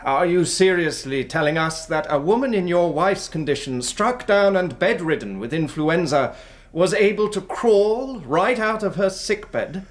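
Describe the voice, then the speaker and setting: A man's voice: sits high, animated, a little slow. A man playing a stern, sarcastic courtroom interrogator in a radio drama, pompous and theatrical. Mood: sarcastic, probing.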